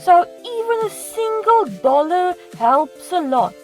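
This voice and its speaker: Very high-pitched woman telling stories. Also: animated, slow, a little mellow. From South Africa. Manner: dramatic, self-mocking. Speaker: storytime animator and narrator. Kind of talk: scripted comedic storytime narration. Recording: music playing behind the voice. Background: music.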